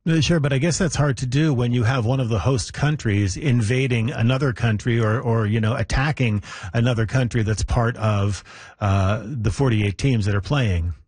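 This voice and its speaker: Guy hosting a radio interview, slightly rough husky voice, dry studio sound, polite and measured. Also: mid-range voice, a little mellow, dry recording. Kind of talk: broadcast interview questioning.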